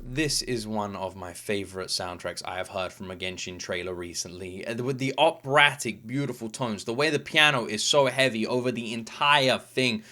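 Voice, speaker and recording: A man's voice: fairly low pitch, normal speed, few pauses. A man hosting a reaction video, giving informal, off-the-cuff commentary and musing aloud about what is on screen. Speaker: video host reacting to game trailers. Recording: loud music throughout. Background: loud instrumental music.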